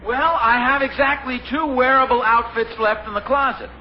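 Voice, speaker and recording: A man's voice: very high voice, steady tone, moderate pace. A man playing an easygoing, flustered family father in a 1950s radio sitcom, complaining with a chuckle, on a dull old radio recording with hiss. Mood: exasperated. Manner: exasperated, chuckling, comic complaints.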